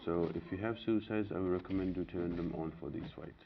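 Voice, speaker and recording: A man's voice: deep voice, steady tone, quick pace. A man giving casual, matter-of-fact video game walkthrough commentary in an instructional way. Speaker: video game guide host. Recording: muffled audio with clear background noise.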